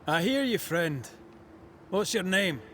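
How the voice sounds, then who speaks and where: An actor playing an earnest, bold ghost hunter with a Scottish accent in a video game, plain-spoken and eager. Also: male voice, high voice, sing-song, slow, a bit bright, slight background noise, full character voice. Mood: determined. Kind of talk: video game cutscene acting.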